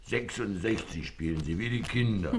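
An actor playing a fussy, avuncular old gangster sidekick with a gravelly voice in a radio comedy. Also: male voice, fairly low pitch, normal speed, close to an everyday voice. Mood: grumbling, cautioning. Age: older. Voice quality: gravelly, creaky voice. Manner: stammering, animated, fussy. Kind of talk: 1950s German radio comedy acting.

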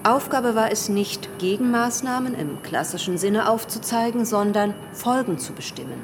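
Clinical expert in a reverberant hall. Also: female voice, fairly high pitch, lively intonation, normal speed, over music. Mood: clinical, formal. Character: sober, clinical scientific expert briefing government ministers. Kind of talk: formal report in a radio play. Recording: reverberant hall with clear noise.

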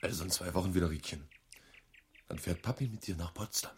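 A man's voice: low-pitched, fairly quick, noisy background, natural voice. An actor playing an earnest, calculating separated husband and father in a radio drama, speaking matter-of-factly and insistently. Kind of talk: naturalistic German radio drama acting.

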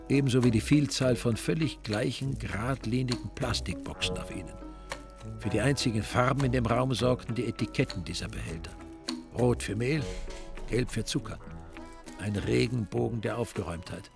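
A man narrating a crime story in scripted literary prose with dry, deadpan irony. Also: medium pitch, normal speed, creaky, lightly acted. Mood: deadpan, wry. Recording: music behind the voice.

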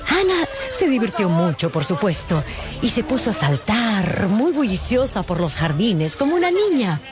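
A woman narrating a dramatised story expressively, with wry, gossipy asides. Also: sits low. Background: background music.

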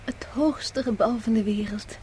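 Actress as soft-toned, affectionate wife, noisy recording. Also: female voice, fairly high pitch, unhurried, natural voice. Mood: delighted, affectionate. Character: troubled, affectionate young wife with a wry streak. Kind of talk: radio drama acting.

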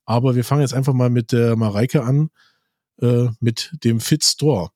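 A man's voice: mid-range voice, steady tone, normal speed, warm tone, no echo, studio quality. A man hosting a podcast interview, talking with his guests over a remote connection and setting up his questions plainly. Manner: conversational, sets up questions plainly. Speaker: podcast host. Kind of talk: casual interview chat. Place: remote recording, virtual studio.

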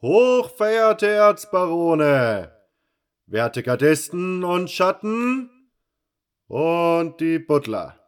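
A man playing a burly, grandiose arena announcer in an audio drama, proclaiming in a bombastic, drawn-out manner. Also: a little high, big pitch swings, clear, resonant tone, full character voice. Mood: grandiose, rousing. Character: burly male arena announcer, grandiose showman working the crowd. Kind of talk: fan audio drama acting.

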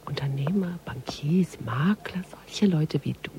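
An actress playing a sardonic, world-weary police detective in a radio crime drama, with a dry, mocking, intimate delivery. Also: female voice, sits low, a little slow, warm tone, slight background noise. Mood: bitter, sardonic. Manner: mocking, dry, half-muttered monologue.

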